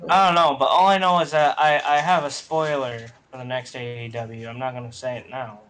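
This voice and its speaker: Bright, forceful, blunt man. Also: mid-range voice, flat delivery, fairly quick, slight background noise. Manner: blunt, emphatic, profane. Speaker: wrestling podcast panelist. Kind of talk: casual opinionated sports chat.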